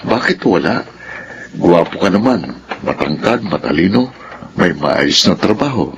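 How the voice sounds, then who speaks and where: An actor playing a doting, gently nagging middle-aged father in a radio drama, with a Filipino accent. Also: low voice, animated, unhurried, dull audio. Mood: coaxing, fatherly. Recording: faint background noise.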